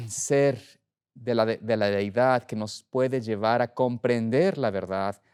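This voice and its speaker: A middle-aged man preaching as a pastor in an earnest, deliberate way, pausing for emphasis. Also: mid-range voice, darkish tone, clear, resonant tone. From Ecuador. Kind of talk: sermon, opening with prayer.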